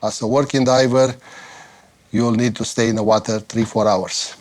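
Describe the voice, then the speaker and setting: A middle-aged man from Romania with a Romanian accent, explaining his trade in a measured, instructive interview manner. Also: medium pitch, little pitch movement, a little mellow. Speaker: dry suit maker and former diver.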